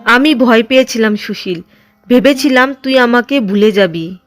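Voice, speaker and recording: A woman's voice: on the high side, moderate pace, soft background music, natural voice. A woman playing a sly, jealous older village mother-in-law in a cartoon drama. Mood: pleading. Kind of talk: Bengali cartoon drama dialogue.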